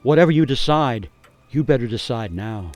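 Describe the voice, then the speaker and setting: An actor playing a haunted gingerbread cookie who tells a grim cautionary tale in a suspenseful, theatrical radio monologue with deliberate pauses. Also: male voice, medium pitch, animated, darkish tone, no room sound, lo-fi sound, soft background music, natural voice. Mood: urgent warning. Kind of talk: dramatic monologue for radio storytelling.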